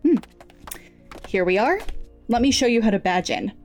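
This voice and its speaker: An actress playing a cool, businesslike research supervisor with a clipped, formal manner in an audio drama. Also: female voice, fairly high pitch, lively intonation, normal speed, darkish tone, soft background music, lightly acted. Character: brisk, guarded, businesslike female research supervisor.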